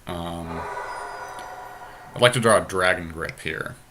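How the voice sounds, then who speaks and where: A man's voice: sits low, slow pace, bright, forceful tone, slight background noise. A man giving casual gameplay commentary, thinking his strategy aloud in slangy, everyday speech. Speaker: podcast host playing a card game.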